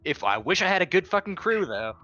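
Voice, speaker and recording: A man's voice: high-pitched, very animated, brisk, sharp tone, lo-fi sound. A man playing a tabletop roleplaying game, talking casually in character with blunt, sweary delivery.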